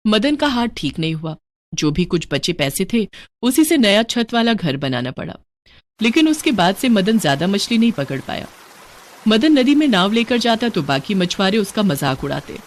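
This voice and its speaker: A woman's voice: medium pitch, big pitch swings, normal speed, slight background noise. A woman narrating a scripted moral story as a storyteller.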